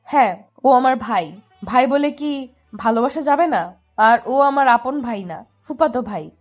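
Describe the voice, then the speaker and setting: Casting: woman to play stubborn, lovestruck little sister, high voice, petulant and defiant, romance audio story. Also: animated, unhurried, faint music behind. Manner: pouting, defiant.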